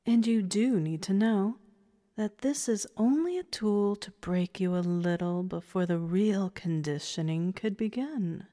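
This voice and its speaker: A woman's voice: mid-range voice, unhurried, mellow, close to an everyday voice. A woman playing a domineering, condescending woman who commands and taunts in an audio story. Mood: threatening. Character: domineering, condescending woman running a training program. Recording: reverberant hall-like echo.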